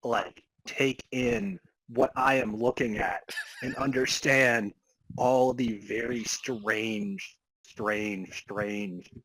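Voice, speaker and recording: A husky-voiced man hosts and narrates a comic fan fiction reading. He is halting and amused, with casual asides, in a dry, close recording. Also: mid-range voice, steady tone, fairly bright tone, no echo, rough recording. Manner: halting, amused delivery of broken sentences. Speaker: podcast narrator and co-host.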